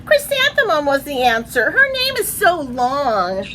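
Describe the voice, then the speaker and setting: A woman's voice: sits high, wildly swooping pitch, unhurried, sharp tone, slight background noise, pitched above the performer's own voice, exaggerated voice, low-quality recording. A woman voicing snooty, teasing little schoolgirls from a storybook in a pointed, sing-song manner.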